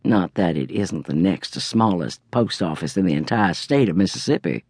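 An ancient, grumpy grandfather holds forth in a sulky, pompous tone, as a woman reader puts him on. The pitch moves a lot and the sound is dry and close. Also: lively intonation, normal speed, darkish tone, slightly rough, no room sound, full character voice.

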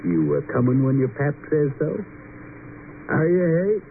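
A man's voice: on the high side, animated, measured pace. An actor playing a bitter, bullying, drunken middle-aged father with a rustic American accent in an old-time radio drama. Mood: bullying, greedy. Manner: menacing, badgering, rustic folksy speech. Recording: noisy, tinny old radio recording.

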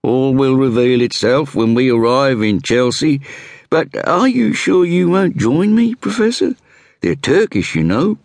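A man voicing a brash, self-assured Scotland Yard inspector with an East Anglian drawl and drawn-out vowels, in a swaggering, teasing manner for an audiobook. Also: mid-range voice, lively intonation, no room sound, studio quality, pitched above the performer's own voice. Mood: sly, genial. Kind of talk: audiobook character voice.

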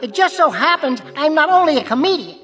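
Shrill, brash ventriloquist's dummy. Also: male voice, big pitch swings, brisk, pushed and bright, heavily acted. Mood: cocky. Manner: brassy, taunting vaudeville patter. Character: brash wisecracking ventriloquist's dummy named Willie, small and cocky. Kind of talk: radio drama comedy patter.

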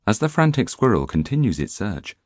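Deep, expressive male audiobook narrator. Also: brisk, dry recording, studio quality. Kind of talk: scripted nonfiction audiobook narration. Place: dry recording studio. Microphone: close studio microphone.

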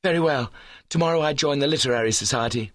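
A man's voice: mid-range voice, fast talker, a bit bright, no room sound, studio quality, pitched above the performer's own voice, natural voice. A man playing a lovestruck young male golfer, breezy, slangy and earnest, in a comic story reading. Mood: indignant. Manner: breezy, earnest, indignant when rebuffed.

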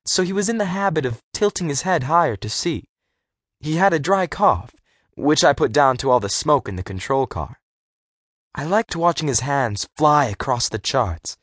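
A man narrating a first-person adventure story for young readers, expressive and clearly articulated, as a scripted audiobook. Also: mid-range voice, no room sound, clean studio sound.

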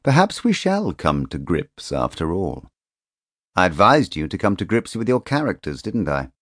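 A man voicing a sinister postcard writer, politely taunting, measured and insinuating in a storybook reading. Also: sits low, no echo, studio quality. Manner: measured, insinuating, mock-courteous.

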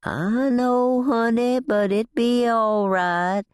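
A woman's voice: on the high side, fairly even intonation, slow, smooth, no room sound, studio quality, voice pushed up. A woman voicing a motherly Southern housekeeper in an audiobook, folksy and coaxing, with a Southern US accent. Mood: soothing, coaxing.

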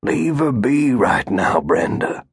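A man reading an audiobook, voicing a gentle, easygoing older grandfather who soothes a child. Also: medium pitch, expressive, slow pace, gravelly, slightly rough, no room sound, studio quality, heavily acted. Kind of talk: audiobook character voice.

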